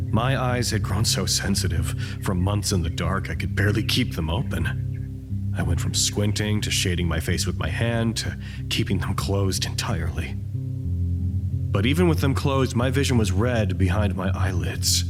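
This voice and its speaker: An actor playing a weary, introspective survivor, delivering a measured, literary monologue in an audio drama. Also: male voice, fairly low pitch, lightly acted. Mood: quiet, awed. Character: weary, reflective male survivor recording his thoughts. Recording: music under the voice. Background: music.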